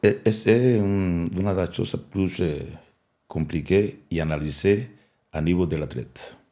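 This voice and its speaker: A middle-aged man with a heavy Cuban Spanish accent and a very low voice talks as a boxing coach in an interview. His tone is mellow and dark. He speaks haltingly, with restarts and repeated words. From Cuba. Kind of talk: interview, mixing French and Spanish.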